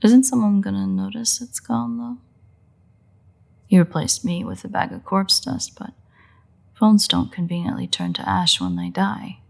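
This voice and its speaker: A woman playing a sardonic, predatory vampire in an intimate roleplay audio drama, with a husky, breathy voice and a playful, drawling delivery. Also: medium pitch, expressive, unhurried, a little mellow. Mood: incredulous, sarcastic. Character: teasing, unrepentant female vampire, sardonic and predatory.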